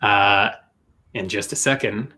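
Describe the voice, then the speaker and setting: A man with a low-pitched voice runs a tabletop game as game master. He describes scenes in detail, feeling his way through the wording, with music playing behind him. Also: slow, pushed and bright. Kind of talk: improvised tabletop game narration.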